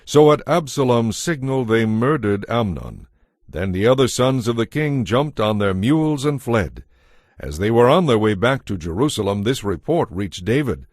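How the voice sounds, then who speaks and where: A man's voice: mid-range voice, sing-song, moderate pace, dry recording, studio quality. A man giving a solemn, scripted dramatic narration of scripture as storyteller.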